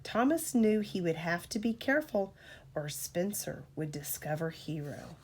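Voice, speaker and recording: A woman reading a storybook aloud to a child as a parent, in an expressive, deliberate storytelling manner. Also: lowish voice, a little hiss or hum.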